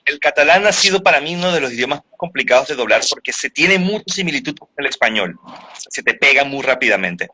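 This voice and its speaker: A man with a bright, forceful voice and a slightly husky edge talks through the craft of dubbing in a relaxed interview. He thinks aloud, restarting his phrases as he builds an explanation. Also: mid-range voice, fast talker. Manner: reflective, explains at length with restarts. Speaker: voice actor and dubbing teacher. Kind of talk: casual interview talk.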